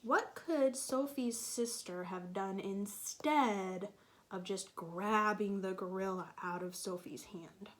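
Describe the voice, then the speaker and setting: A woman teacher reading a picture book to young children, explaining patiently and pausing to ask them questions. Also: mid-range voice. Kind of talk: children's read-aloud with questions to listeners.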